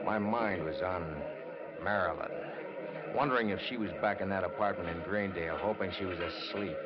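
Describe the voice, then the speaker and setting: An American actor playing a bitter, brooding newspaper reporter in an old-time radio noir drama, with a dramatic, clipped delivery. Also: male voice, on the low side, heavy background noise, natural voice. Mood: tense, obsessed. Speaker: radio drama lead and narrator. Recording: dull old radio recording with music.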